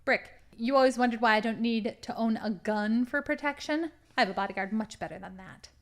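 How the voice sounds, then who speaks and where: A woman playing a scheming, menacing female crime boss in an audio drama, with a deliberate, theatrical delivery. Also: a little high, close to an everyday voice. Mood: gloating, cold.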